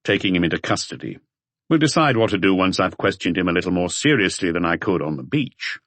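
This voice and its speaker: A man voicing a calm, authoritative police chief inspector in an audiobook, terse and matter-of-fact. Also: deep voice, fairly quick, no echo, heavily acted. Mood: commanding.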